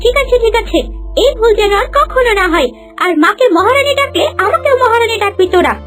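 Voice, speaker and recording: A woman's voice: very high voice, expressive, a little fast, a bit bright, soft background music. A woman voicing a haughty, cruel young princess in a cartoon, speaking in an imperious, scornful manner. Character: haughty, cruel young princess bride who scolds servants. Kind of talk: cartoon voice acting.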